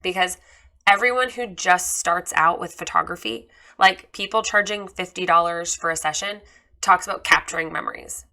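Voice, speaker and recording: Woman a bit hoarse, bright lowish voice, chatty candid website review, lots of ums. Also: moderate pace. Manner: candid, chatty, many fillers. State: slightly hoarse from lost voice. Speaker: photographer giving a website review. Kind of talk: casual screen-share critique.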